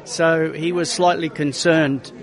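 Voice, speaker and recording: A man's voice: a little high, steady tone, slow pace, a crowd in the background, poor audio. Man telling racing stories. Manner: chatty, anecdotal, many restarts. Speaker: racing driver guest. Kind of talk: casual interview storytelling.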